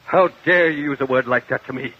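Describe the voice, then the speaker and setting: An actor playing a stern older judge and strict uncle, commanding and scolding, in a 1950 radio drama. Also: male voice, mid-range voice, fairly quick, slight background noise, close to an everyday voice. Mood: stern, indignant. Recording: muffled vintage 1950 radio recording.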